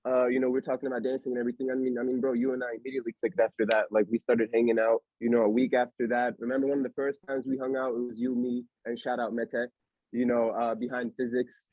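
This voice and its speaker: A man's voice: mid-range voice, little pitch movement, fast, no echo, phone-quality sound. A young man from Pennsylvania hosting a podcast in a casual, rambling, slangy way with lots of fillers. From Allentown, Pennsylvania. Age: young adult. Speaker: podcast host. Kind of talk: casual chat.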